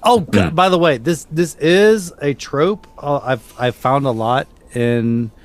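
A middle-aged man hosting a podcast, casually telling spooky stories with halting, repeated starts. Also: on the high side. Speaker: podcast host and storyteller.

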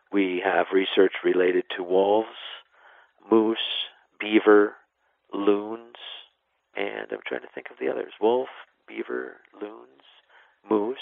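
A low-pitched man with a creaky, gravelly edge explains things as an expert guest in an interview. His phrasing is informal and sometimes trails away at the end. The sound is dry and a little dull, like a band-limited radio interview. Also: steady tone, takes it slowly, pauses often, dry recording. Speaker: national park superintendent, interview guest.

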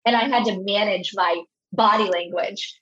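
A woman's voice: medium pitch, fairly even intonation, moderate pace, a bit bright. A woman in her thirties, an author being interviewed, telling stories in an earnest, conversational way and often restarting her sentences. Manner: earnest, anecdotal, repeats words when starting. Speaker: author and guest. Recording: slightly dull, lo-fi audio.

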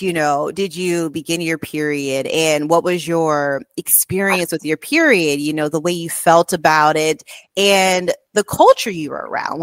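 A woman's voice: lowish voice, no echo. Bubbly woman hosting a podcast, dry sound. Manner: bubbly, says "like" a lot. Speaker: podcast host. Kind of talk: casual interview hosting.

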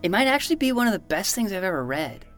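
A woman's voice: medium pitch, sing-song, quick pace, loud music behind the voice, close to an everyday voice. A woman voicing an earnest, precocious twelve-year-old boy in a fiction podcast, with a matter-of-fact manner. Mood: excited.

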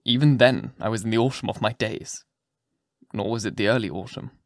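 A man's voice: lowish voice, a little fast, no echo, clean studio sound, lightly acted. Male reader as elderly physician turned fiend: vengeful, brooding, archaic and theatrical storybook delivery. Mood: brooding gloom. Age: older.

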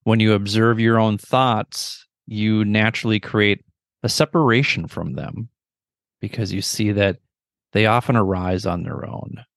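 A middle-aged man leading a guided meditation with measured, gentle, instructional phrasing and pauses between phrases. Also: lowish voice, fairly even intonation, no room sound, studio quality.

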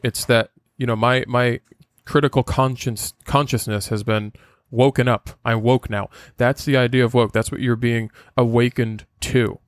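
Earnest podcast host, dry close sound. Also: male voice, mid-range voice, no room sound. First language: Portuguese. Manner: earnest, lecturing with frequent filler sounds.

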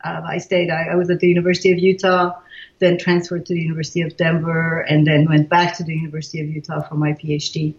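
A woman in her sixties from Iran, a guest in an interview, thoughtful and pausing with many fillers and restarts. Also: low voice, monotone, roomy sound, lo-fi sound. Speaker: guest, leadership expert. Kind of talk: casual interview.

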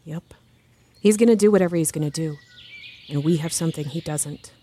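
An actress playing a calm, supportive counselor friend in an audio drama, coaxing in a measured, soothing manner. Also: female voice, low-pitched, slight background noise, close to an everyday voice. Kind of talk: fiction podcast audio drama acting.

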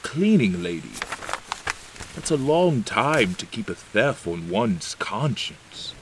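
An actor playing an imperious, excitable ruler in an audio drama, gruff, dismissive and commanding. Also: male voice, on the low side, takes it slowly, noisy background, heavily acted. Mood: stern, troubled. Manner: blustering, commanding. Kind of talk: theatrical audio drama acting.

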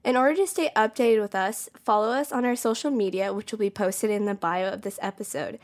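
A woman's voice: mid-range voice, fairly even intonation, brisk. Young woman, from California, college podcast co-host, bubbly, casual. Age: young adult. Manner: bubbly, enthusiastic, frequent "like" and laughs.

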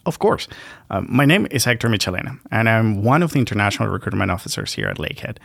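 A man from Venezuela working as a university recruiter, answering questions in a polite, measured way. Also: fairly low pitch, animated, vocal fry, fairly bright tone, breathy edge, no echo, studio quality. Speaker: international recruitment officer. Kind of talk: guest answering interview questions.

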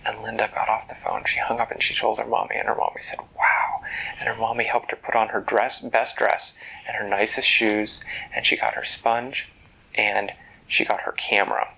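A parent telling a bedtime story in a hushed, gentle, unhurried way. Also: mid-range voice, little pitch movement, creaky, breathy edge, rough recording.

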